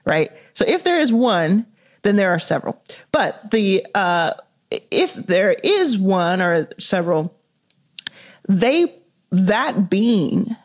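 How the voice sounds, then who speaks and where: A woman's voice: mid-range voice, big pitch swings, slow pace. A woman hosting a podcast interview, thinking aloud in a casual, searching way with lots of "like" and "you know.". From Dallas, Texas, US. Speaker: podcast host.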